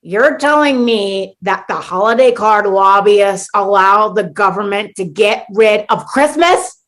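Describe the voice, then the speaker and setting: A woman's voice: medium pitch, a little slow, a bit bright, close to an everyday voice. A woman playing a bewildered, exasperated sitcom newcomer in a comedic radio play, sarcastic and dramatically indignant. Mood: baffled, skeptical.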